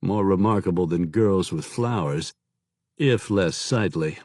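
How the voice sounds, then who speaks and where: A man's voice: deep voice, unhurried, a little mellow, no echo. A man voicing a composed male military commander who speaks in a dry, understated way.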